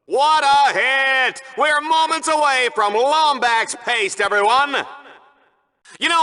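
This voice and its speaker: Cheesy smug video game sports announcer dude, high bright voice, really swoopy. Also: male voice, exaggerated voice. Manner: hammy, showy, smug. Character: vain, goofy, bombastic male game-show arena commentator. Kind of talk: bombastic arena commentary.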